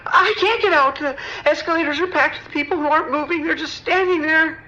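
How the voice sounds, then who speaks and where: A woman playing a terrified subway commuter on an emergency call in an audio drama, halting, stammering and pleading. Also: high-pitched, sharp tone, lo-fi sound. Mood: panicked.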